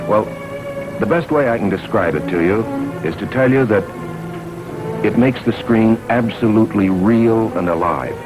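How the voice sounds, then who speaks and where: A man giving an earnest, dramatic promotional pitch in the style of a 1950s film trailer. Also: close to an everyday voice, rough recording. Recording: vintage 1950s film trailer, music underneath.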